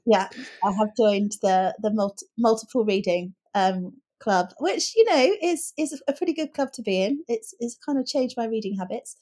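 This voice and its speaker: A woman's voice: fairly high pitch, expressive, moderate pace, darkish tone. A woman co-hosting a casual book chat, speaking in articulate, considered phrases. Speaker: podcast co-host.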